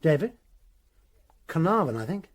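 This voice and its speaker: A man's voice: a little high, big pitch swings, measured pace. A man playing a guarded headmaster of about forty in a radio drama, formal and defensive. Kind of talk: full-cast radio drama acting.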